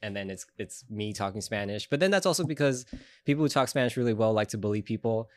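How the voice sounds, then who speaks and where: Man, low voice, dry studio sound, guest on a podcast, rambling casual chat. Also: fast, dry recording. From Ecuador. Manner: relaxed, rambling with many fillers.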